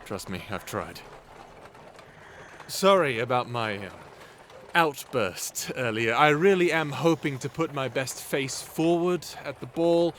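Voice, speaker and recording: A man's voice: mid-range voice, lively intonation, takes it slowly, a bit bright, a little hiss or hum. A man playing a nervous, polite, overwrought human captive in an audio roleplay, speaking hesitantly. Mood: sheepish. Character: anxious, overwrought young human captive competing for survival. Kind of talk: cinematic audio roleplay acting.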